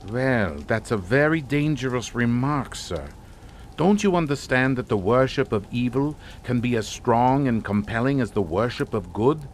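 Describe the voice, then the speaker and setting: Courtly, menacing count in radio suspense; formal, deliberate, richly varied melody. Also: male voice, medium pitch, a little hiss or hum. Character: suave, sinister aristocratic Hungarian count and uncle. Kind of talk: radio suspense drama acting.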